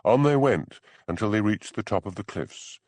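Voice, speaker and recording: A man's voice: lowish voice, animated, normal speed, a little mellow, no room sound. A man reading a novel aloud as a scripted audiobook narration.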